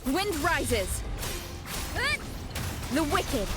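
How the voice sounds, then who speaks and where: An actress playing a fierce, righteous female fighter in a video game, calling out drawn-out battle cries. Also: sits high, very animated, full character voice. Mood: fierce, triumphant. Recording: loud game music over the voice.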